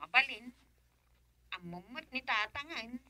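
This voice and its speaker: A woman's voice: on the high side, animated, a little slow, pushed and bright, no room sound, full character voice. A woman with a Filipino accent playing a chatty female caller in a radio comedy drama. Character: chatty young woman named Rosa on a call.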